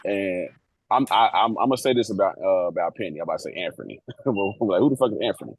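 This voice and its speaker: A man's voice: low voice, lively intonation, quick pace, slightly rough. A man co-hosting a sports podcast in casual chat, laid-back and emphatic, with frequent swearing. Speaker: podcast co-host.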